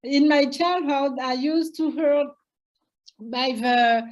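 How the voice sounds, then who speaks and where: An older woman from Senegal speaks with a high voice at a slow, unhurried pace. She pauses often, filling gaps and restarting sentences. Her English is a to her. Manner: hesitant, many fillers and restarts. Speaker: historian guest. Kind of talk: interview conversation.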